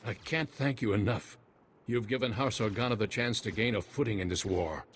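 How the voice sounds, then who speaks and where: An actor playing an earnest noble army general in a video game, speaking in a formal, measured way. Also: male voice, on the low side, a bit bright, natural voice. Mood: earnest, grateful. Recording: faint battle noise, gunfire behind.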